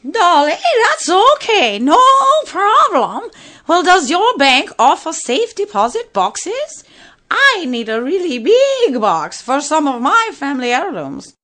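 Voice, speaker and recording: Woman acting a high-voiced, gushing older lady customer. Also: huge pitch swings, slow pace, a bit bright, exaggerated voice. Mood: polite. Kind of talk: amateur radio play acting.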